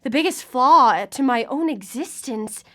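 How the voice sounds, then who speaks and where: A woman's voice: sits high, expressive, measured pace, a bit bright. A woman acting a newly made artificial female creature in a radio drama, earnest and formal, wounded and defiant. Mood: defiant, angry.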